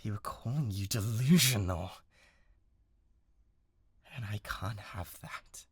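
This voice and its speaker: A man's voice: lowish voice, takes it slowly, slightly rough, no echo, clean studio sound. A British man playing an obsessive, bratty young livestreamer in a dramatised roleplay, talking intimately and needily to the listener with an undercurrent of menace. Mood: irritated, defensive. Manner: intimate, pleading, swinging between hushed and insistent.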